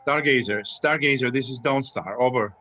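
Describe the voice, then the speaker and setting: A man's voice: medium pitch, normal speed, bright, forceful tone. A man playing a dutiful army sergeant at a command post in a screenplay table read, reporting formally and plainly. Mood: alert.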